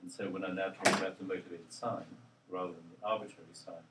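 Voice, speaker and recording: An older man, a linguist, answering interview questions in a halting, thoughtful way with many pauses and ums. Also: low-pitched, fairly even intonation, very noisy. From Australia. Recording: roomy, distant mic with faint ambience.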